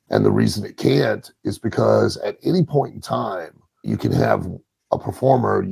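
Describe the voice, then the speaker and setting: A man with a gravelly, husky voice giving opinionated analysis in a podcast conversation, deliberate and emphatic, repeating key phrases. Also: lowish voice, darkish tone, dry recording. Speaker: podcast panelist from the wrestling business.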